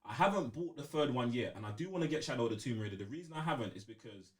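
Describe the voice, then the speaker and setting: A man's voice: mid-range voice, expressive, very fast, distant mic. A man chatting casually on a gaming podcast, using plenty of slang and repeating himself. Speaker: podcast co-host.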